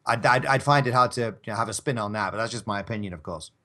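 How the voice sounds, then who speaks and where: A man's voice: fairly low pitch, quick pace, pushed and bright. A man co-hosting a casual talk show, bantering about films in a rambling, wry, conversational way. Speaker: co-host of an audio drama talk show.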